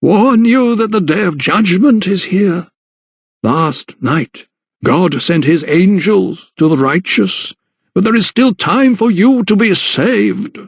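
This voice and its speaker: Male reader as elderly vicar, fanatical, condescending, drawn-out delivery, raised pitch, audiobook villain. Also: gravelly, slightly rough, dry recording, full character voice. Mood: sanctimonious. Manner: slow, sanctimonious, unctuous. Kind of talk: dramatised audiobook character dialogue.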